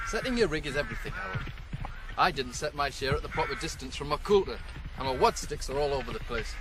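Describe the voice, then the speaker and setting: Man playing bossy high-pitched farm ploughman, noisy recording. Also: natural voice. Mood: instructive, teasing. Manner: brusque, instructive, teasing. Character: bossy, ambitious farm ploughman schooling a young lad. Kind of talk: 1980s radio drama acting. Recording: noisy 1980s radio broadcast recording.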